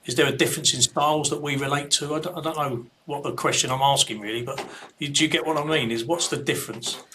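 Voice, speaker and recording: A man's voice: a little high, fairly even intonation, fast talker, sharp tone, a little hiss or hum. A man in his fifties hosting an interview, asking loosely phrased, rambling questions. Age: 50s. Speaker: podcast host. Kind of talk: casual interview conversation.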